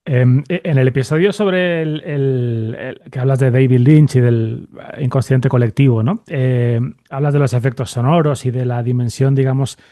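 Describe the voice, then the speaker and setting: A man speaks in a mellow, mid-pitched voice. He interviews casually, hesitating as he searches for words. The sound is very dry. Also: moderate pace, hardly any pauses, no room sound. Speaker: podcast host, interviewer.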